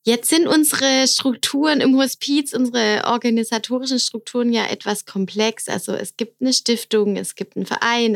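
A woman hosting a podcast interview, asking friendly, encouraging questions and backing her guest with steady listening sounds. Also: fairly high pitch, creaky. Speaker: podcast host, education officer.

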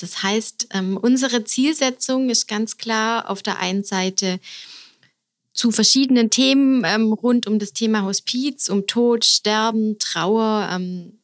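Cheerful woman explaining her work. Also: on the high side. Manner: cheerful, explanatory, with frequent fillers. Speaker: education officer and podcast guest. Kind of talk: podcast interview answers.

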